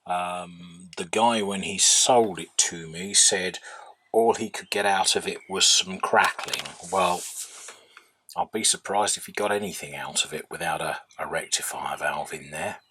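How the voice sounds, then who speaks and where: A man's voice: low-pitched, fairly bright tone, breathy edge. A man giving an unscripted, hands-on workbench narration as a hobbyist, hesitantly thinking aloud. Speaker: hobbyist radio restorer.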